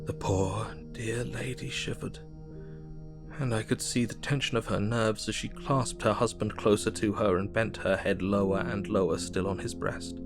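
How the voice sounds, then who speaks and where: An actor playing a sombre, observant Victorian diarist, narrating in a measured, grave manner in an audio drama. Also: male voice, on the low side, fairly even intonation, over music, close to an everyday voice. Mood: compassionate.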